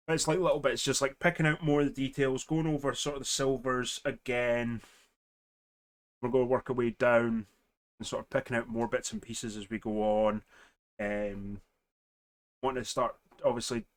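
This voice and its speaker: A man with a slightly hoarse voice, hosting a casual, rambling hobby livestream in an informal way. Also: medium pitch, fairly bright tone. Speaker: live stream host.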